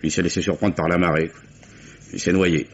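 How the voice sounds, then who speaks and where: A gruff old seaside fisherman, played by a man in a vintage radio play, guarded and grumbling as he talks. His voice sits very low and deep. The sound is dull and muffled, with a faint hiss behind it. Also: little pitch movement, brisk. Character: gruff old oyster fisherman Pascal, reluctant witness. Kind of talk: 1950s French radio drama acting.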